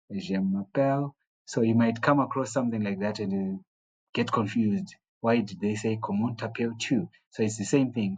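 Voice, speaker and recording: A man teaching beginner French, repeating each phrase slowly and patiently. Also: mid-range voice, a little mellow, husky, highs cut off. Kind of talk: beginner language lesson.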